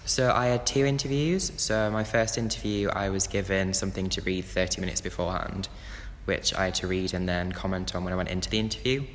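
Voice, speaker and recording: A man's voice: low-pitched, fairly even intonation, brisk, hardly any pauses, in a large hall, slight background noise. A young man of about twenty, a law student, describing his interviews in a plain, matter-of-fact way. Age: around 20. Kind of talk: casual interview answers.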